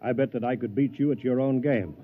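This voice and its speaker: A man acting as an arrogant rich rival in a vintage radio play. His mid-pitched voice is haughty and emphatic, full of scorn, on a thin phone-like old recording. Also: brisk, natural voice. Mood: taunting. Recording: tinny, narrow-band old radio recording.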